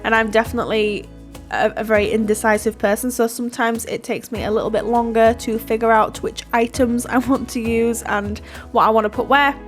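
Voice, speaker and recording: A woman's voice: a little high, steady tone, fairly quick, faint music behind. A woman, stuffy from hay fever, chatting casually through a voiceover of her building game, giggling and self-deprecating. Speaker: YouTuber narrating a Sims build.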